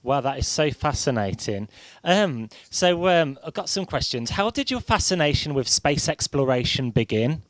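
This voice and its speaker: A man's voice: on the high side, sing-song, moderate pace, no echo. A man conducting a radio interview, hesitant and often stammering over his words.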